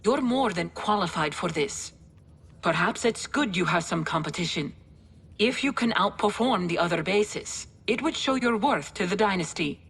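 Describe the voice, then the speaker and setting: An actress playing a dry, wry female military adviser with a husky voice, briefing in a matter-of-fact way in a game cutscene. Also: mid-range voice, expressive, moderate pace, a bit bright, exaggerated voice. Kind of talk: video game cutscene acting.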